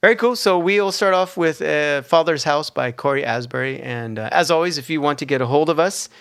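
A man's voice: fairly high pitch, a little fast, creaky, a bit bright, clean tone, no room sound, studio quality. Jokey male radio host. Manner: jokey, laughs often. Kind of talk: casual radio chat. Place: radio studio.

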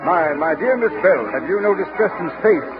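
A man playing a courtly, uneasy Southern gentleman in a 1940s style radio drama. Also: high-pitched, expressive, normal speed, over music. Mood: courtly, wary. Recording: muffled vintage radio broadcast.

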